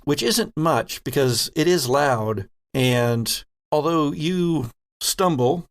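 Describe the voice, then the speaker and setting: A man narrating a tabletop horror role-playing game as game master, setting scenes deliberately with pauses mid-phrase. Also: on the high side, animated.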